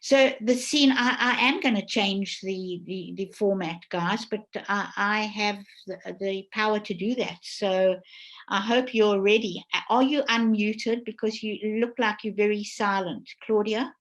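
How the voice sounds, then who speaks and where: A woman's voice: medium pitch, fairly even intonation, measured pace, poor audio. A woman moderating a live webinar informally, chatty and digressive, often correcting herself as she goes. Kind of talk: informal live panel hosting.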